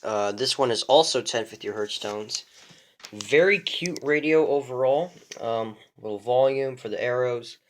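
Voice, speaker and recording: A man's voice: mid-range voice, a little slow, a little hiss or hum. A young man giving a casual show-and-tell of his radio collection, rambling, with many fillers and restarts.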